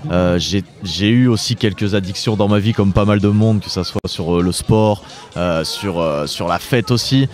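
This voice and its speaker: Young guy from southeast france, low voice, kinda hesitant answering interview questions, soft music behind. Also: normal speed. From Drôme, southeastern France. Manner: hesitant, searching for words, repeating phrase starts. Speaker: interview guest, author and entrepreneur.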